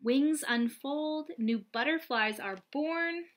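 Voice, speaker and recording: A young adult woman reading aloud and explaining gently, as a teacher does for young children. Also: high-pitched, slow. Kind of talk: children's read-aloud and lesson. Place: small lamp-lit room at home, indoors.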